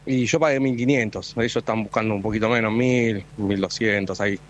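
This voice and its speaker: A man from Argentina with an Argentine accent, a football fan talking in a relaxed, slangy way. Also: on the low side, fairly quick, dull audio, a little hiss or hum. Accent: Argentine Spanish.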